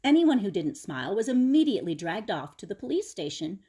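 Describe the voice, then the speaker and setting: A woman reading a picture book aloud to children in a dramatic, expressive way, with chatty explanatory asides. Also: mid-range voice, fairly quick, slightly rough.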